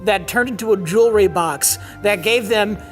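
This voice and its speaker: A hoarse man giving a rambling, incredulous comedic recap of a plot as a panelist. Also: sits high, normal speed, a bit bright, soft background music.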